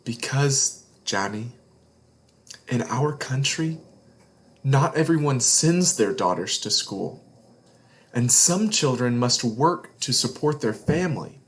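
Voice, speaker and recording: A man reading the part of a gentle, sad, loving storybook father, soft-spoken and hesitant. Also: mid-range voice, animated, takes it slowly. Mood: tender, sad.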